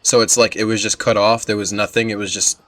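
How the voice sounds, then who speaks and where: A man's voice: fairly low pitch, little pitch movement, a little fast, fairly bright tone, no room sound. A man giving casual, slangy and candid reaction commentary as he plays a video game. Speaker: gamer reacting to a game playthrough. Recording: game music behind the voice. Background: video game music.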